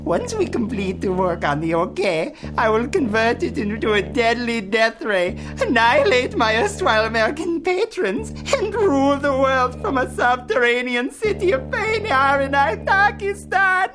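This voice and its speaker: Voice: high voice, very animated, normal speed, hardly any pauses, over music. A performer playing a pompous, scheming villain swami in a comic radio play, with theatrical, taunting delivery. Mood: gloating. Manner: theatrical, gloating, rhyming taunts.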